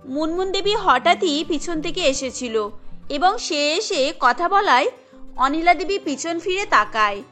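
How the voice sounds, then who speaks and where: A woman narrates a cartoon tale from a script. Her voice is high and bright, with music playing underneath. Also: expressive, measured pace.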